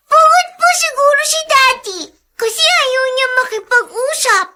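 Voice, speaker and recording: A curious young girl in a radio drama. The voice sits very high and sounds bright, and she talks politely and innocently, like a child. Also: unhurried, slight background noise, full character voice.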